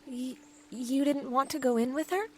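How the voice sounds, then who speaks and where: A woman playing a shy, anxious young village woman in an audio drama, soft-spoken, hesitant and stammering. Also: high voice, fairly even intonation, moderate pace, soft background music, close to an everyday voice. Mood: gentle. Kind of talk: fan audio drama acting.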